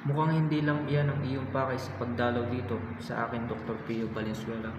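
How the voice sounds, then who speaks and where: A man playing an earnest, principled Filipino patriot in an amateur Tagalog radio drama, reciting in a formal, measured way. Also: medium pitch, little pitch movement, lightly acted. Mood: firm, reluctant. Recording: muffled, echoey reverberant hall, music behind.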